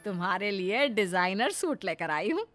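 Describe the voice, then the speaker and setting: A woman acting as a doting middle-aged mother-in-law in a cartoon family tale. Her voice sits at a medium pitch and she coaxes and reassures, quietly competitive. Music plays behind her. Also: big pitch swings, normal speed. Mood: coaxing. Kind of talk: Hindi animated moral story acting.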